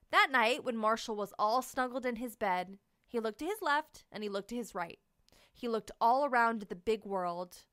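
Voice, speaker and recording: A woman reading a children's storybook aloud as host, in expressive scripted narration with dramatic, drawn-out emphasis on key words. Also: on the high side, smooth, no room sound, studio quality.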